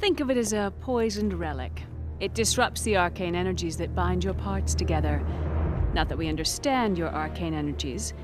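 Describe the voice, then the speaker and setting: Actress as a cold low-voiced villainess. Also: female voice, moderate pace, lightly acted. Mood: coldly smug. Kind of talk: animated video game villain acting. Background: prominent music.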